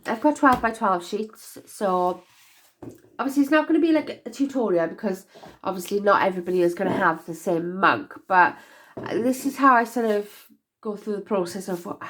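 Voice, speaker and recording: A woman's voice: medium pitch, expressive, normal speed, fairly bright tone, slight background noise. A young woman with a stuffy nasal voice from a cold, chatting casually and rambling with lots of fillers as she works through a craft project. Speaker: crafter demonstrating box making. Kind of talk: casual craft-along chat.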